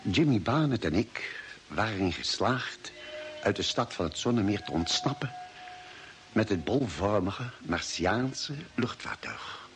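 A man's voice: lowish voice, very animated, unhurried, over music. A male actor playing a solemn space explorer, giving weighty scripted narration in a mid-century radio serial.

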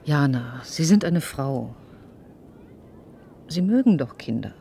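A woman's voice: very deep voice, lively intonation, slow pace, creaky, mellow, slight background noise, heavily acted. An actress playing an earnest, impassioned woman doctor in a German radio play, with an urgent, insistent manner. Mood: pleading.

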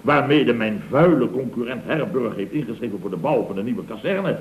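A man's voice: medium pitch, expressive, moderate pace, close to an everyday voice. An actor playing a scheming, mock-innocent contractor boss in a 1960s radio comedy, with a Netherlands Dutch accent, stammering out repeated phrases as he insinuates. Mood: sly insinuation. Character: wheedling, scheming male building contractor boss. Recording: muffled old radio recording, roomy sound.

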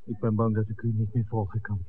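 An actor playing an inquisitive, persistent male filmmaker in a 1960s radio drama, asking questions in a halting but pressing way. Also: on the low side, completely flat delivery, a little hiss or hum, lightly acted. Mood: puzzled. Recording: tinny old radio recording, background chatter.

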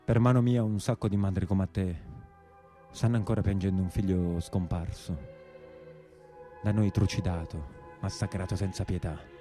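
An actor playing an imprisoned brigand in a radio play, plain-spoken and anguished, with a southern Italian accent and colloquial, dramatic delivery. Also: male voice, low voice, fairly even intonation, moderate pace, long pauses, dark tone, faint music behind, natural voice. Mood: anguished, solemn. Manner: colloquial, dialect-laced, dramatic. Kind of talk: theatrical monologue in a radio play.

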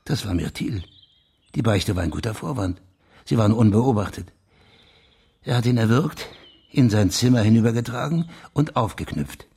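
An actor playing a police official in a German crime radio drama, insistently laying out his theory with a husky, gravelly voice. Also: on the low side, a little mellow, exaggerated voice.

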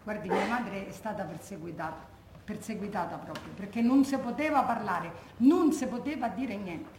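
Actress playing widow's grieving daughter, Roman accent, colloquial, emphatic, theatrical monologue, mid-pitched voice, roomy echo. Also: female voice, moderate pace, a little mellow, natural voice. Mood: bitter recollection. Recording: echoey room, faint background noise.

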